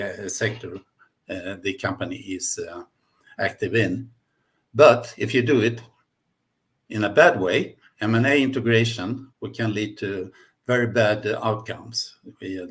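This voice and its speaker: Man, Swedish accent, guest presenter, fairly low pitch, halting semi-spontaneous delivery. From Sweden. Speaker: guest speaker, management consultant.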